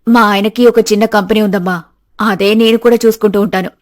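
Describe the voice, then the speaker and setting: A woman voicing a confident, motherly and slightly crafty middle-aged aunt in an animated story. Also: medium pitch, fairly quick, no echo, lightly acted. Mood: motherly, friendly. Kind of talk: animated moral story dialogue.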